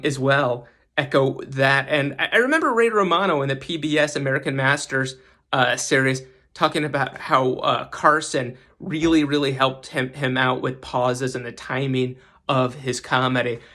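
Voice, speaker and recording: A man's voice: mid-range voice, bright, forceful tone. A man who hosts a podcast, giving casual, emphatic commentary and often repeating words for stress. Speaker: podcast host giving a guest segment.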